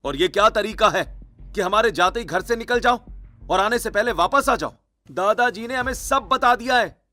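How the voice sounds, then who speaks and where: A man's voice: high-pitched, expressive, normal speed, pushed and bright, voice pushed up. A man voicing a disappointed, reproachful older father-in-law in a cartoon story. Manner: grave, reproachful. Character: disappointed elderly father-in-law, head of family.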